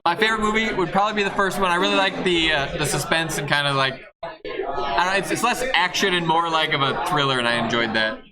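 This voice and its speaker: A man's voice: on the high side, animated, fast talker, low-quality recording. A man chatting casually and off the cuff as a player on a live game show. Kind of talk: casual unscripted chat. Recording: loud crowd, live convention stage.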